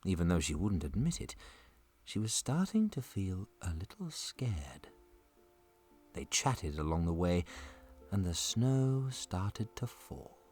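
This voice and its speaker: A man narrating a scripted family adventure story in a dramatic, measured storytelling manner. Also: low voice, big pitch swings, darkish tone, breathy edge, faint music behind.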